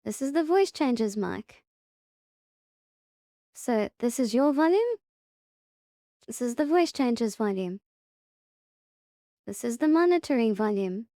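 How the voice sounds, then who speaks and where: A woman presenting a step-by-step software tutorial in short, simple instructional sentences. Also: fairly high pitch, big pitch swings, measured pace, pauses often, dry recording, clean studio sound.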